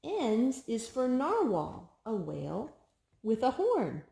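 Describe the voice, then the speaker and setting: Woman, children's storytime reader, sing-song delivery, slow pace, echoey room. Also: fairly high pitch, huge pitch swings, vocal fry, mellow. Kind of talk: reading picture books aloud to a small child.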